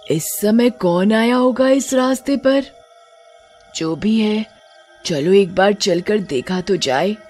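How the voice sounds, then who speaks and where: A woman voicing a greedy, scheming witch out for prey in a horror story, with a drawn-out, menacing, gloating manner. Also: mid-range voice, a little mellow, lightly acted.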